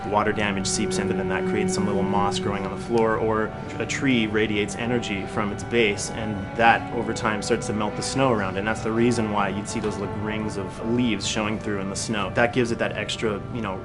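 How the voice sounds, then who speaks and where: A man's voice: fairly low pitch, flat delivery, fairly quick, few pauses, loud music behind the voice. A man working as a video game artist, explaining his work in an informal, conversational way. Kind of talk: casual explanatory interview and work talk.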